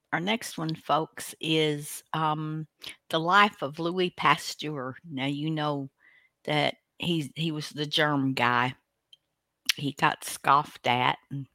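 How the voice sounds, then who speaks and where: Radio host, slow pace, bright tone, chatty, folksy, hesitant, casual, dry sound. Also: medium pitch, dry recording. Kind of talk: casual, rambling introduction.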